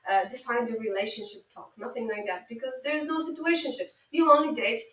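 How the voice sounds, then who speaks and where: A woman's voice: fairly high pitch, a little fast, a bit bright, roomy sound, recorded from a distance. A woman in her thirties from Poland with a Polish accent, chatting enthusiastically as an interview guest. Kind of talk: casual interview chat.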